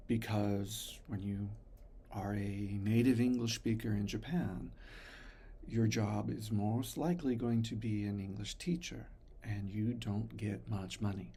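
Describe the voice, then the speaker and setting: A man in his fifties from the United States, talking slowly and thoughtfully to his son in a reflective personal vlog. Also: on the low side, vocal fry, breathy edge. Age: 50s. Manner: slow, thoughtful, pausing often, soft-spoken. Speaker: father recording messages for his son.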